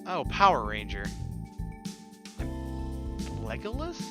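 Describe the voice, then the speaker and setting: A man's voice: medium pitch, huge pitch swings, slow pace. A young man reading a visual novel aloud as a let's player, with casual, irreverent asides. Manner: playful, irreverent. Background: music.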